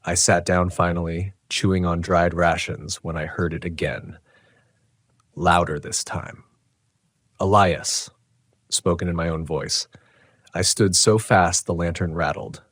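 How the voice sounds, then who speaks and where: A man reading a scripted first-person horror story in a measured, dramatic way. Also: low voice, little pitch movement, fairly bright tone, no room sound. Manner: measured, dramatic, literary delivery.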